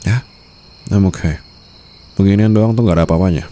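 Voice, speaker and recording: A man with an Indonesian accent acting a smitten, protective mafia boss in an intimate ASMR boyfriend roleplay, murmuring softly and teasingly. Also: sits low, brisk, close to an everyday voice. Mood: reassuring, possessive. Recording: faint night-time insect ambience noise.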